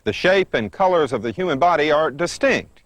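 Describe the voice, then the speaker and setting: A man with an American accent narrating a scripted training film as an instructor, methodical and clear in his delivery. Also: on the high side, animated, normal speed, a bit bright, no room sound. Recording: noisy 1990s training film soundtrack.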